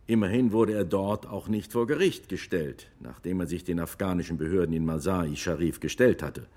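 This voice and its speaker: An actor playing a retired, war-weary army officer who testifies gravely and emphatically in a courtroom radio drama. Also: male voice, low voice, normal speed, warm tone. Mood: grave, measured.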